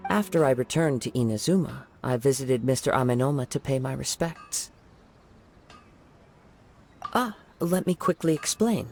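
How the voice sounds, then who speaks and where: An actor playing a calm, courteous young samurai in a game, speaking in a formal, soft-spoken way. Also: male voice, mid-range voice, moderate pace, gravelly, long pauses. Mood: composed. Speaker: wandering samurai. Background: game music.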